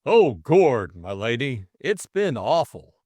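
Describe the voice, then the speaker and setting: A man's voice: medium pitch, big pitch swings, slow pace, a little mellow, no echo, clean studio sound, pitched above the performer's own voice. A man voicing an anxious, deferential manservant with a fretful manner in an audiobook drama. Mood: distressed. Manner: fretful, servile. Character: anxious, deferential young manservant, relieved and jittery.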